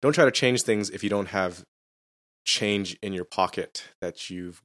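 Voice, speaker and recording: A pastor being interviewed on a podcast answers casually, with a low voice and a self-deprecating sense of humor. He often restarts his sentences as he works out what he wants to say. His delivery stays relaxed and unhurried.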